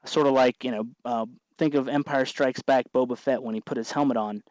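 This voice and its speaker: Halting man giving a hands-on tutorial. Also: mid-range voice, steady tone, darkish tone, dry recording, low-quality recording. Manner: halting, informal, thinking aloud as he explains. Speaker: host of an electronics tutorial show. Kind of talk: unscripted hands-on tutorial narration.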